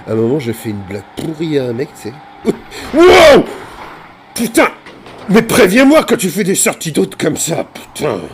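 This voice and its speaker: A man's voice: on the high side, huge pitch swings, moderate pace, slight background noise. A man improvising game roleplay and chatting casually, playfully hamming it up with slang. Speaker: gaming video creator playing online roleplay.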